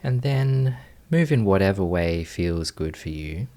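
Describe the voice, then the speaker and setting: A man leading a guided meditation as a teacher, speaking gently in short, unhurried phrases with long pauses. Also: low-pitched, animated, vocal fry.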